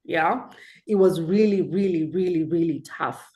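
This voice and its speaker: A woman from Kenya speaks on a webinar over a video call. Her voice sits a bit low, and she talks in a candid, conversational way with plenty of fillers. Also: a little slow, a little mellow. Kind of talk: casual presentation.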